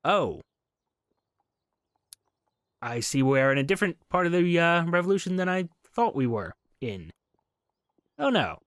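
A man's voice: a little high, big pitch swings, a little slow, long pauses, a bit bright, no room sound, studio quality. A man doing casual game commentary in a musing, curious, hesitant way as he plays and reads along. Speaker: gaming video host. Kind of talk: casual game commentary mixed with reading dialogue aloud.

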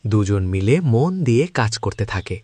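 A man's voice: on the low side, normal speed, dark tone, slight background noise. A man narrating a cartoon folk tale. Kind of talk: scripted storybook narration.

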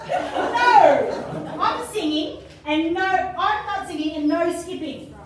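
A woman's voice: extremely high pitch, slow pace. A woman playing a blunt, sarcastic Dorothy in an amateur pantomime. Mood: exasperated. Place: community room with seated audience, far camera. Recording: distant, echoey, noisy hall recording with crowd.

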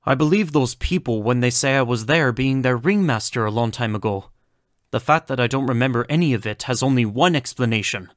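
A self-possessed gentleman doctor played by a male actor speaks formally and courteously in an audio drama. His pitch is medium and his words are measured. The sound is dry, with no room around it. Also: expressive, dry recording, close to an everyday voice. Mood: troubled. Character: polite, self-possessed male doctor turned reluctant funfair ringmaster. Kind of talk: scripted audio drama acting.

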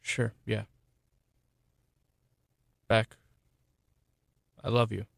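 A man's voice: fairly low pitch, flat delivery, extremely slow, creaky, no echo, clean studio sound, exaggerated voice. A young man acting in a radio play as an earnest, affectionate but wounded boyfriend, speaking plainly. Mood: pleading, sad. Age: young adult. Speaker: student actor.

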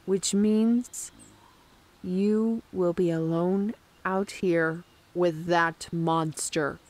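Stern, hostile townswoman played by a woman, unhurried and deliberate, fantasy audio drama. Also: fairly low pitch, fairly even intonation, darkish tone. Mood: stern accusation. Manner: deliberate, accusatory.

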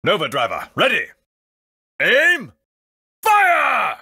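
Very raspy, dramatic commanding leader. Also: male voice, sits high, wildly swooping pitch, slow pace, pushed and bright, dry recording, clean studio sound, exaggerated voice. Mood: urgent command. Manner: dramatic, authoritative. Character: grave, commanding fortress leader, easily flustered. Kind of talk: video game voice acting.

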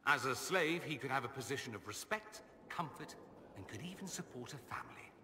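Wry vain mage nobleman, drawling, sharp bright tone, long echo. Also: medium pitch, lively intonation, fairly quick, a little hiss or hum. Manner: sardonic, drawling, self-amused. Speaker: companion character. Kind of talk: video game dialogue.

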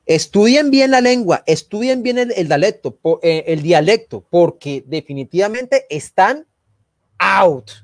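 A man with a Santander Colombian accent speaks in a fairly high voice on a live online panel show. He rambles, repeats and restarts words, and gets animated and indignant when he makes his case. The sound is dry, with no room echo. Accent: Santander Colombian Spanish, from Barrancabermeja. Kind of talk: casual live online talk.

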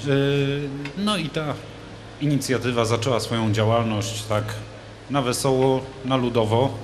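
A man's voice: mid-range voice, a little slow, slight background noise. Hesitant man talking on a TV studio set. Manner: hesitant, many fillers and restarts. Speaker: studio guest reporting on a local event. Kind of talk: casual studio chat. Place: large TV studio with a glass roof. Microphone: handheld mic.

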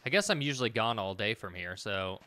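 A man's voice: lowish voice, animated, brisk, sharp tone. A man in his thirties giving casual let's play commentary, thinking aloud and muttering to himself as he plays. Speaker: gaming video host.

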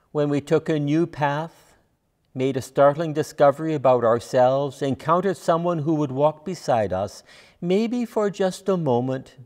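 An older man, a guest minister, reading prayers and reflections in a measured, formal, solemn way at a church lectern. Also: mid-range voice, darkish tone. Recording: reverberant hall sound.

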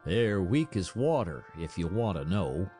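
A man's voice: deep voice, big pitch swings, measured pace, mellow, faint music behind. Man doing a folksy frontiersman. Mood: blunt. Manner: folksy, colloquial, blunt. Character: plain-spoken rustic frontier onlooker, folksy and wry.

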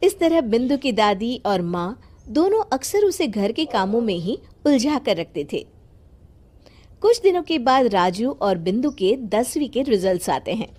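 A woman narrating a moral cartoon story in a plain, even storytelling manner. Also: a little high, measured pace.

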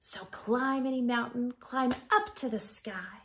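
Woman, thirties, slow pace, creaky voice, gentle storytime read-aloud for children, hushed line endings. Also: fairly high pitch. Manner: gentle, deliberate, expressive storytelling with softened, breathy line endings. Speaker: elementary school teacher reading to children. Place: small living room at home.

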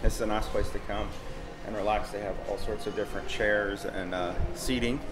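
A man's voice: fairly low pitch, steady tone, normal speed, over music. A man narrating a walking tour of a cruise ship, explaining as he goes with frequent fillers. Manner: friendly explanatory, many "uh" fillers. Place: outdoor and indoor decks of a cruise ship.

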